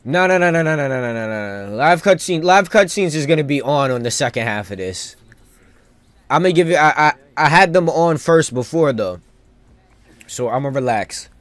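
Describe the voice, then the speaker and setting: A man streaming a video game with casual live commentary, rambling and thinking out loud in loose slang. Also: fairly high pitch, lively intonation, normal speed, dry recording.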